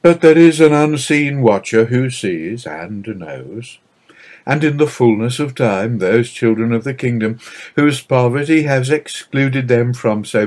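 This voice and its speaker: A man reading a devotional book aloud as scripted narration, in a measured, solemn and formal manner. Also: mid-range voice, a little mellow. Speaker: audiobook reader.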